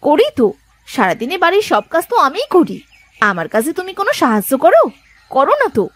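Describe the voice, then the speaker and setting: A woman playing a nagging, sharp-tongued village potter's wife in a moral-story cartoon, scolding and complaining. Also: sits high, huge pitch swings, a little fast, no echo.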